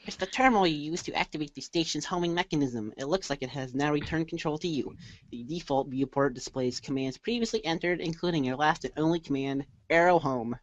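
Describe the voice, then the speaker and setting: A woman reading scripted second-person narration in a measured, declamatory way. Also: sits low, dry recording, dull audio. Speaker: reader in a reader's theater show.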